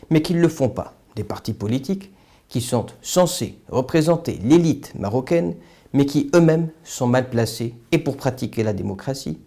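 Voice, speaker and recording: A man's voice: mid-range voice, mellow. A man delivering a scripted political opinion monologue in a formal, literary, rhetorical manner. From Morocco. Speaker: political commentator, video host.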